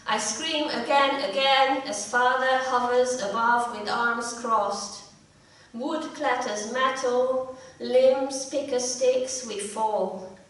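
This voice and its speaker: A middle-aged woman with a slightly croaky voice, reading her poetry in a measured, deliberate way at a live event. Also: high-pitched, fairly bright tone. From Hungary. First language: Hungarian. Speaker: poet. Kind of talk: live poetry reading with short spoken introductions. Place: indoor live event room. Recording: echoey room, distant mic.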